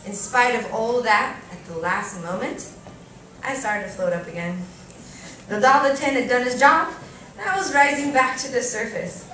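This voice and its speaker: A woman reading a first-person story aloud at a live event, expressively and theatrically, with deliberate pauses and varied emphasis. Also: a little high, lively intonation, fairly bright tone. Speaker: reader performing a short story at a live event. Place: live reading in a cafe venue. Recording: echoey room, distant mic, faint noise.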